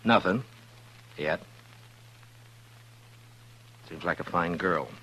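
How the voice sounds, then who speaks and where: An actor playing a steady, protective frontier marshal in a 1950s radio western, with a measured, understated delivery. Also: male voice, deep voice, heavily acted. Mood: grim, measured. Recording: noisy 1950s radio recording with hum.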